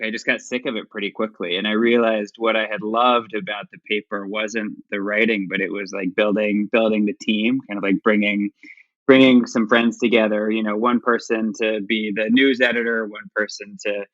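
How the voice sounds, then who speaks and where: A man's voice: lowish voice, a bit bright, no echo, dull audio. A man in his forties from Dallas, Texas, talking as a company founder in a casual interview, often restarting and repeating words.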